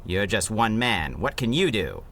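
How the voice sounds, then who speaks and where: An actor playing a menacing, mocking gang leader in a 1930s-style radio adventure serial. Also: male voice, on the low side, sing-song, unhurried, very noisy. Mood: sneering. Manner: taunting, mocking tough-guy slang. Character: menacing, sneering male street thug gang leader, 1930s.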